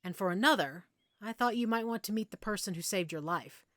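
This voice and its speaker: A woman's voice: medium pitch, animated, fairly quick, dry recording, lightly acted. Woman playing a patient, reassuring werewolf mentor, close and dry. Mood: reassuring, compassionate. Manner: patient, gently explanatory, reassuring. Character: friendly, reassuring female werewolf pack member, patient mentor. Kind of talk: intimate audio roleplay, speaking directly to the listener.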